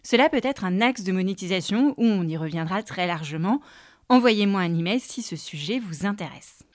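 A woman's voice: medium pitch, lively intonation, moderate pace, dry recording, clean studio sound. A woman hosting a podcast lesson, explaining in a playful, teasing way and addressing listeners directly. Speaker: podcast host and coach.

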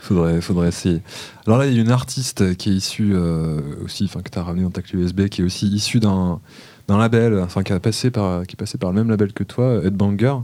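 Radio host guy, lowish mellow voice, dry close mic, bit hesitant. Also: quick pace, no echo. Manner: hesitant, with fillers. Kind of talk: radio interview.

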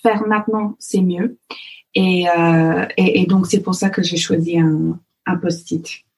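A woman from Canada speaking as a guest in an interview, talking in a halting way with many fillers and restarts. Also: fairly low pitch, fairly even intonation, vocal fry, darkish tone, low-quality recording. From Canada (Alberta). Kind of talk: casual interview talk.

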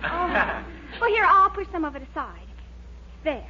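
Very high-pitched, scheming young American girlfriend. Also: sing-song, measured pace, pushed and bright, heavily acted. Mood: busy, hushed urgency. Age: young adult. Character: eager, scheming young girlfriend, breezy and persistent. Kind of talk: 1940s radio situation comedy acting. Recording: muffled old radio recording, faint hiss.

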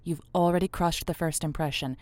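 A woman playing an affectionate, encouraging call-in advice host, folksy and halting, in a semi-improvised audio drama. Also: deep voice, fairly even intonation, darkish tone, no room sound, lightly acted. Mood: affectionate, reassuring. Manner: folksy, halting, reassuring, pet names. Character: encouraging, affectionate female lonely-hearts radio show host.

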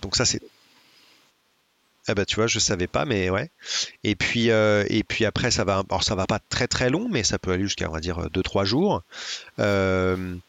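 Low-voiced man chatting with many pauses. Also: lively intonation, vocal fry, fairly bright tone, no room sound. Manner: hesitant, many filler sounds and restarts, storytelling. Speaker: podcast guest. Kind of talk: casual chat.

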